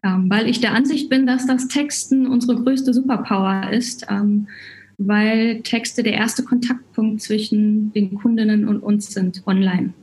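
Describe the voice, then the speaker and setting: A woman's voice: mid-range voice, steady tone, measured pace, a little mellow, low-quality recording. A woman from Cologne with a German accent, speaking casually as a guest in a podcast interview about her work. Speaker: podcast guest, writing coach.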